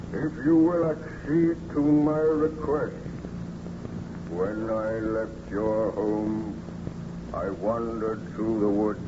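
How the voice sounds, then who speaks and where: A man's voice: medium pitch, lightly acted. A man playing a hideous, vengeful monster with ponderous, theatrical menace in an old radio drama. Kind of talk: 1930s radio acting. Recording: dull, noisy old radio recording.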